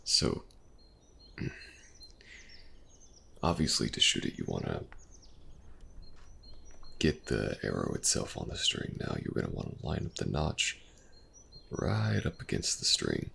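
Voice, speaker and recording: A very deep-voiced elf mercenary, acted by a man, full of gravelly creak. He is a patient, gently awkward companion in a fantasy audio roleplay, speaking softly with halting pauses. Faint outdoor ambience sits behind him. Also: wildly swooping pitch, slight background noise, heavily acted. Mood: patient, instructive. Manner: hesitant, soft-spoken, casual, with halting pauses.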